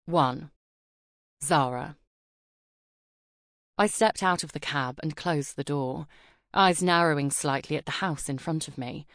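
A woman reading a first-person audiobook in a measured, expressive way on a close studio mic. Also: sits low, no room sound. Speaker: audiobook narrator. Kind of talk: scripted first-person narration.

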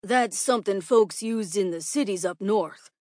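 A woman's voice: medium pitch, moderate pace, a little mellow, no echo, clean studio sound, natural voice. A woman voicing a crass, impatient old male schoolteacher in a storybook, blustering and condescending. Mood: explaining.

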